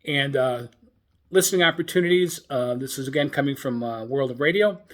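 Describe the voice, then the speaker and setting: A man hosting a hobbyist radio news show in an informal, halting, hesitant manner. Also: medium pitch.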